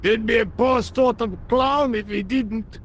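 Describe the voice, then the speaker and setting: An actor playing a cocky, gruff, work-shy workman in a video game, colloquial, blustering and scornful. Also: very high-pitched, a little slow, slight background noise. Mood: brusque. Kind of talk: video game dialogue acting.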